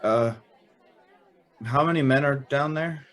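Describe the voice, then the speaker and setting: An actor playing a polite, curious young house guest in a radio drama, speaking in a mild, tentative way. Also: male voice, medium pitch, slow, fairly bright tone, some chatter behind. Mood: puzzled, uneasy. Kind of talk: old-time radio drama acting with narration.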